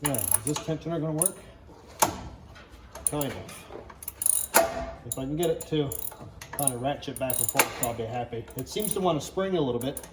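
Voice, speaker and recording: A man's voice: medium pitch, lively intonation, moderate pace, long pauses, echoey room, very noisy. A man chatting casually as he hosts a DIY car build vlog, thinking aloud and muttering to himself as he works.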